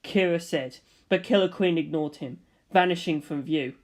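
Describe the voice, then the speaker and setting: A narrator reading a fantasy story aloud in a scripted storytelling style, at a medium pitch. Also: normal speed, darkish tone. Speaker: audiobook narrator.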